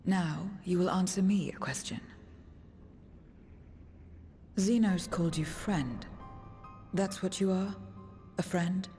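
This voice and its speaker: A woman's voice: fairly low pitch, unhurried, mellow. A woman voicing an aloof, world-weary female void creature in a video game cutscene, speaking in solemn, terse phrases. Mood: questioning. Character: aloof, world-weary female voidsent returned to true form. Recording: music behind.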